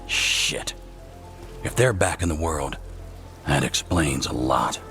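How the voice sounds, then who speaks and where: A man's voice: extremely low pitch, slow, pushed and bright, soft background music, heavily acted. An actor playing a weary, blunt male elven scout with a wry manner in a fantasy video game. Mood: blunt, grim. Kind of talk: cinematic video game dialogue.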